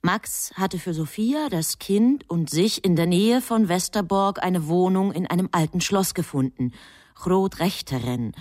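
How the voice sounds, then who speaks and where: An actress playing a composed, knowing storyteller, narrating a German radio drama in measured phrases. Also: female voice, fairly low pitch, lively intonation, a little mellow, dry recording, clean studio sound. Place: studio.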